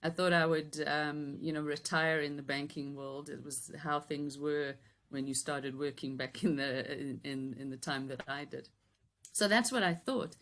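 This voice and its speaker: A woman in her fifties with a softened Yorkshire accent and a low, steady voice. She talks as an interview guest, chatty and reflective, pausing often on ums. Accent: Yorkshire English softened by South African years. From Yorkshire, England. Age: 50s. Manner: warm, chatty, thoughtful with frequent ums and light laughter. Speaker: guest, business coach. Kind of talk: casual interview chat.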